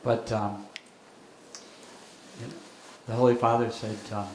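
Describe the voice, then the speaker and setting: An older man, a bishop, speaking thoughtfully at a lecture with many pauses and fillers. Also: medium pitch, level tone, a little mellow. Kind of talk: lecture and Q&A remarks. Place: lecture hall. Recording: echoey hall with background noise.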